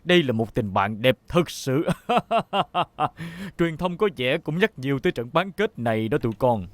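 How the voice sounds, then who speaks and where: A man playing a jolly, caring uncle who mentors kids in a cartoon dub, expressive in delivery. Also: on the high side, measured pace, no room sound.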